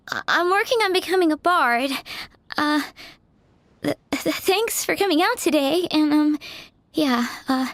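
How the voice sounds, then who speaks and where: High-voiced, nervous young bard. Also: female voice, no room sound. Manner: stammering, hesitant, apologetic. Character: nervous, earnest young female aspiring bard. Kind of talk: video game voice acting.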